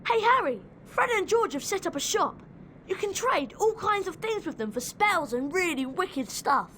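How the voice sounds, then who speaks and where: Young English schoolboy for a game: cheeky, very high-pitched, animated, over music. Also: female voice, normal speed, slight background noise, heavily acted. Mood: eager. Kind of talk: video game voice acting.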